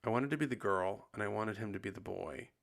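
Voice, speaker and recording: A man hosting a podcast in casual confessional chat, sounding husky and tired after bronchitis, rambling with lots of likes and ums. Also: on the low side, a little fast, fairly bright tone. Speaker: podcast host.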